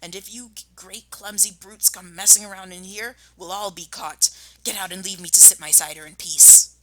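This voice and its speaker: A storybook rat, acted out by a woman reader, rude and fiercely territorial. He snaps out bossy orders with indignation, in a very sharp, forceful voice. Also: medium pitch, steady tone, moderate pace, dry recording, lightly acted, rough recording. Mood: indignant, bossy. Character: shrieking, territorial, rude rat hoarding his cider.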